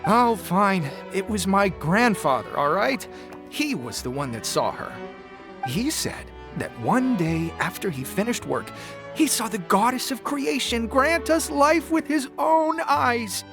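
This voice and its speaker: Casting: pompous, bumbling storybook critter for a game; swooping, excitable, theatrical delivery over music. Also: male voice, high voice, unhurried. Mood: theatrical, awed. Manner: theatrical, self-important, excitable. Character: bumbling, boastful male paper forest creature, theatrical storyteller. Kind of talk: animated video game voice acting.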